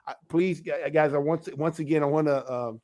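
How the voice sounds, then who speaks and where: A man, an elder of a ministry, giving a religious teaching talk in an emphatic, animated way. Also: a little high, brisk. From United States.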